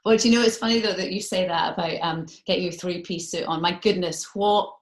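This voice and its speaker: A woman's voice: on the low side, fairly even intonation, a little fast. A British woman hosting a casual remote interview in a chatty, gushing manner. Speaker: radio interviewer. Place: remote video call in London.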